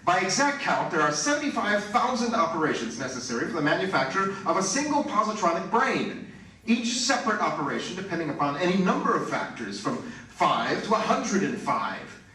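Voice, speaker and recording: Man acting as officious company director, high bright voice, swooping intonation, pompous, radio play, echoey. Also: sing-song, a little fast, exaggerated voice. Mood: grave, indignant. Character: officious, excitable male head of a robotics firm. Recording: very echoey room, distant mic, faint noise.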